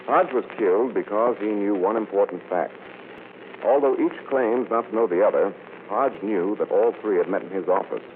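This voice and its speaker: An old radio recording, tinny and faintly noisy, of a man playing a suave gentleman sleuth. His American voice is very low, measured and authoritative, explaining things with calm self-assurance in 1940s drama style. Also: natural voice. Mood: assured, explanatory.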